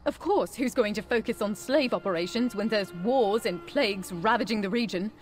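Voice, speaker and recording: A woman playing an earnest, caring ally in a video game, speaking in a measured, sincere way. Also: mid-range voice, soft background music, natural voice. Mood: concerned. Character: earnest, caring female ally planning a rescue. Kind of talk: video game character acting.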